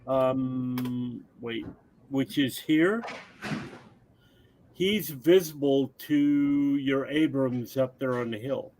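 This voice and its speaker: A man's voice: medium pitch, extremely slow, darkish tone, rough recording. A man casually explaining tabletop game rules during play, in a helpful, instructive way. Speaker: guest game designer teaching the game.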